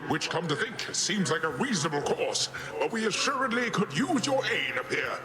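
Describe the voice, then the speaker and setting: A man's voice: a little high, big pitch swings, normal speed, background noise. A man playing a jovial, boastful sea god uncle in a video game, with grandiose, playful and theatrical delivery that is goofy yet haughty. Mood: jovial, musing. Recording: loud game music behind the voice.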